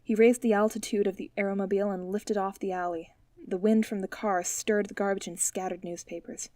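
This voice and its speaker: Woman narrator for a noir audiobook, mid pitch, steady reading, dry booth sound. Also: no echo. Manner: even, measured storytelling. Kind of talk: scripted narration.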